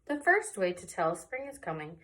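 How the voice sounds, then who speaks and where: A woman reading a picture book aloud to young children in an expressive storytelling way, pausing for little asides. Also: lowish voice. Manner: slow, animated, pausing for asides. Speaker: children's read-aloud presenter.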